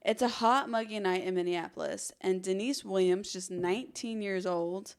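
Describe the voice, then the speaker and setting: Woman doing true crime podcast storytelling, dramatic bits mixed with offhand casual comments, mid pitch. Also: normal speed, a little mellow. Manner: casual asides amid dramatic narration.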